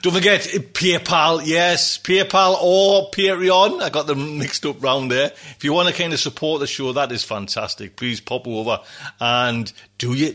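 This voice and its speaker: A man's voice: a little high, fairly bright tone. A man hosting a podcast, chatting casually with effusive, quirky turns of phrase. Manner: effusive, quirky thanks and wordplay. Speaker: podcast host. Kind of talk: casual podcast sign-off chat.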